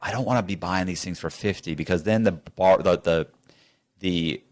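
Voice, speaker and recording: Deep-ish guy in an interview, rambly blunt storyteller, does both sides of the dialogue. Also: normal speed. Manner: rambling, restarts sentences, quotes both sides of conversations. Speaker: interview guest. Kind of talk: animated storytelling with acted-out dialogue. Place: studio.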